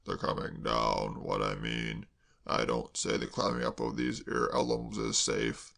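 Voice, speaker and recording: A man voicing a mournful, doom-laden male servant, speaking slowly and heavily. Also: flat delivery, vocal fry, a bit bright, heavily acted. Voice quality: deep, slow, heavy. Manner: mournful, dwells on disasters. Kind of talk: audiobook character voice.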